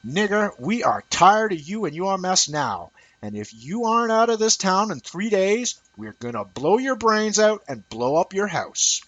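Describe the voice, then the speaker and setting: A man's voice: sits high, very animated, measured pace, few pauses, no room sound, voice pushed up, full character voice. A man voicing a hateful, threatening anonymous male caller in a dramatized audiobook reading. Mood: menacing. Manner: threatening, forceful.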